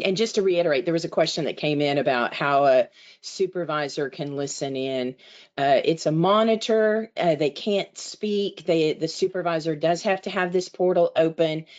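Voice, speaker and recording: Female co-presenter, quite low voice, conversational and instructional, heard over slightly muffled lo-fi webinar audio. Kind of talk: informal explanation, answering a question. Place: online webinar call.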